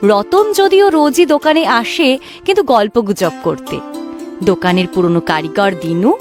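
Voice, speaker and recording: A woman reading scripted narration for a children's fairy-tale story. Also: mid-range voice.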